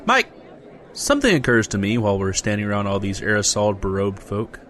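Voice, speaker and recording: A man's voice: on the low side, sing-song, a little fast, a bit bright, a faint crowd. A man playing a sarcastic, incredulous everyman in a comedic audio drama. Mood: wry. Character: Jesse, exasperated everyman dragged along to a magic convention.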